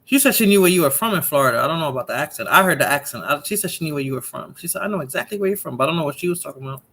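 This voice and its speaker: A woman's voice: sits low, animated, racing, fairly bright tone, slightly rough. Chatty woman with a Bronx accent. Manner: chatty, laughs often, repeats herself. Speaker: livestream host. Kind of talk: casual livestream chat.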